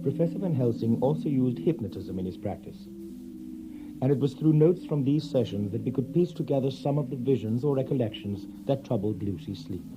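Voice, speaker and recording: An actor playing a grave Victorian gentleman who narrates an audio drama in a formal, measured way. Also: medium pitch, lively intonation, natural voice. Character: grave Victorian gentleman recounting past tragic events. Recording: muffled, music behind.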